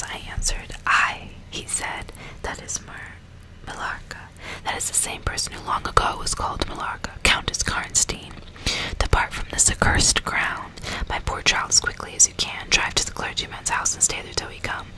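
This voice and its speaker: A woman whispering in a breathy, unvoiced hush, as an ASMR host reading aloud in an unhurried way. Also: low-quality recording. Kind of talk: whispered ASMR audiobook reading with casual asides.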